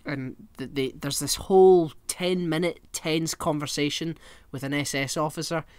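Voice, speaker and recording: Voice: medium pitch, moderate pace, dry recording. A podcast co-host chatting casually and swearing freely while telling rambling comic stories. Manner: rambling, animated storyteller.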